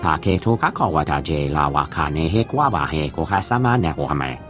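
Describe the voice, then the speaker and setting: Very deep alien speaker. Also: male voice, animated, fairly quick. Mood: stern. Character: gruff alien speaking an invented guttural tongue. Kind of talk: video game dialogue. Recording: music behind the voice.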